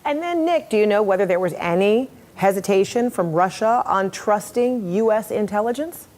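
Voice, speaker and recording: A woman's voice: mid-range voice, lively intonation, normal speed, a little mellow. Female news anchor, mid-range voice, broadcast presenting and questioning style.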